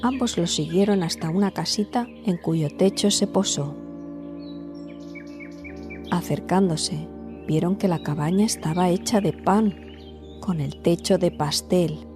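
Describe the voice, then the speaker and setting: A woman narrating a scripted fairy tale as a storyteller, in a measured, dramatic way. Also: lowish voice, music in the background.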